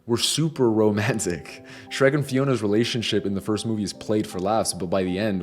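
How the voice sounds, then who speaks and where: A man's voice: lowish voice, a little mellow. A young adult man narrating a scripted video essay in an earnest, opinionated and nostalgic way.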